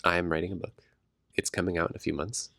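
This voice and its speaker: Man, low voice, hushed casual chat, slightly stuffy. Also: little pitch movement, fast, gravelly, no room sound, studio quality. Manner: quiet and hedging, laughs easily. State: congested. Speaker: podcast co-host. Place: home, recorded late at night.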